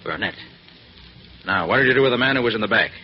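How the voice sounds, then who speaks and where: An actor playing a confident, commanding master detective with an assured manner in a 1940s radio drama. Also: male voice, on the low side, expressive, fast talker, close to an everyday voice. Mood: hard, threatening. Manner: assured, crisp, authoritative. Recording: dull, noisy 1940s radio recording.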